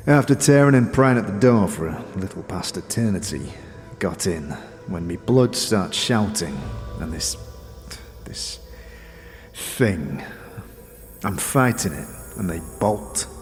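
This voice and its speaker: An actor playing a crude, bitter, boastful dead thief, a talking corpse with gruff, grumbling, slangy speech, in a fantasy audio drama. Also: male voice, sits low, lively intonation, a little slow, pauses often. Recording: long reverberant echo, music underneath.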